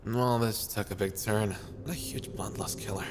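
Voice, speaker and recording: A man playing a tall, hot-tempered, gruff yandere in an ASMR roleplay, grumbling, sardonic and threatening. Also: fairly low pitch, fairly even intonation, moderate pace, natural voice. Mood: sardonic, challenging. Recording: clear background noise, ambience.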